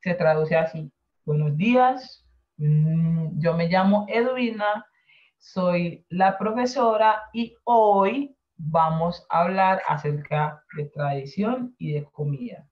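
Profesora lenta en videollamada apagada. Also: female voice, lowish voice. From San Andrés Island, Colombia. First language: San Andrés Creole. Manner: slow, explains each word and translates it. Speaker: Creole language teacher. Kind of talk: online class over a video call. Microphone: video call. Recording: dull lo-fi video call audio.

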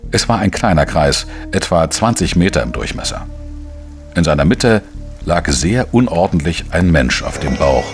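An actor playing a wry, world-weary journalist who narrates a radio crime drama in a measured, dry manner. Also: male voice, low-pitched, very animated, faint music behind. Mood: wry, reflective. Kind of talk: scripted first-person crime narration.

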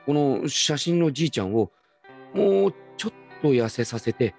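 A man's voice: mid-range voice, big pitch swings, normal speed, creaky, a little mellow, breathy edge, faint music behind, voice pushed up, exaggerated voice. A man playing a puzzled grown son, an ordinary working man, in a radio drama. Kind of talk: radio drama acting in Japanese.

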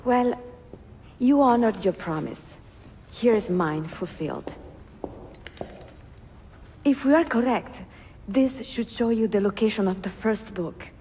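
Game heroine: bookish young Italian woman, higher register, Italian accent, poised and articulate, reverberant recording. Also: expressive, slow, long pauses, warm tone, a little hiss or hum. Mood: eager, curious. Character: educated young Italian bookseller, poised and passionate. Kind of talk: game cutscene acting.

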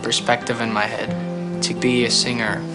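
A man's voice: mid-range voice, little pitch movement, moderate pace. A young man from Ukraine who grew up near Philadelphia, speaking reflectively in an interview as a singing contestant. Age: young adult. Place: indoor room interview. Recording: music under the voice. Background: music.

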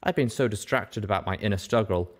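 A man's voice: fairly low pitch, fairly quick. A man reading a first-person story aloud as scripted narration. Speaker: audiobook reader.

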